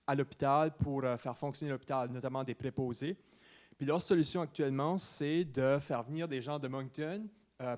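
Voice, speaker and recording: A man in his twenties with an Acadian New Brunswick French accent, giving an informal presentation at a meeting, often pausing mid-phrase. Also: mid-range voice, a little mellow. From Saint-Louis-de-Kent, New Brunswick, Canada. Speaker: student presenter to a municipal council.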